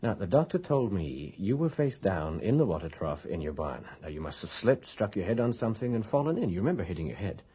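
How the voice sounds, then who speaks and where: An actor playing an earnest, persistent investigator in a radio horror drama, educated and polite, with hesitant, articulate questioning. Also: male voice, low-pitched, big pitch swings, a little fast, hardly any pauses, husky, heavily acted. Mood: insistent. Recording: dull lo-fi radio recording.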